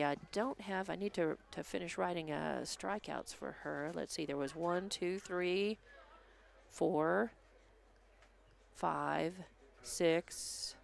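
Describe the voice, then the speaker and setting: An American man giving an unscripted live game recap as a sports commentator, halting and thinking aloud as he goes. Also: fairly high pitch, vocal fry.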